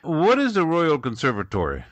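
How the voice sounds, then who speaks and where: A man's voice: a little high, huge pitch swings, normal speed, no room sound, dull audio. A man hosting an interview show, asking questions in an upbeat way with some hesitations.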